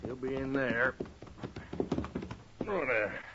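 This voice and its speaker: An actor playing an agitated, wary frontier rancher in an old time western radio drama. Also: male voice, medium pitch, fairly even intonation, slow, natural voice. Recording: muffled, noisy old radio recording.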